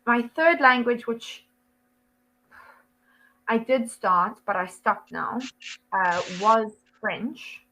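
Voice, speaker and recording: A woman's voice: fairly high pitch, very slow, pauses often, pushed and bright, low-quality recording. A young South African woman with a South African accent, an online English tutor chatting casually over a video call, laughing often and full of ums and likes. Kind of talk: casual one-to-one lesson chat.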